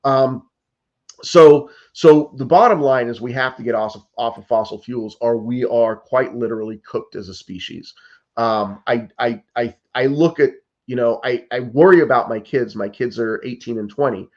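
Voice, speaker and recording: A man's voice: medium pitch, moderate pace, highs cut off. Earnest, urgent man in his fifties. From United States. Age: 50s. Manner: earnest and urgent, many restarts and "like", "you know". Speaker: political candidate. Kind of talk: interview answers.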